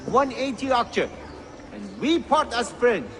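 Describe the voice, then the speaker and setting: A man's voice: very high-pitched, very animated, slow pace, heavily acted, poor audio. An actor playing an effusive, theatrical Ottoman rug merchant in a video game, haggling dramatically. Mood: wheedling, indignant. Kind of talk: video game character acting.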